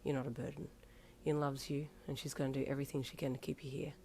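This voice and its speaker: A woman voicing a cocky, teasing young man who can turn gentle and reassuring. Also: rumbling low, steady tone, brisk, slight background noise, pitched below the performer's own voice. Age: young adult.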